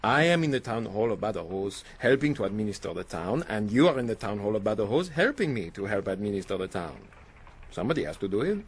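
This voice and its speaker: An actor playing a genial, teasing French army captain in a radio drama, with a French accent. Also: male voice, fairly low pitch, sing-song, fast talker, a little hiss or hum. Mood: amused exasperation.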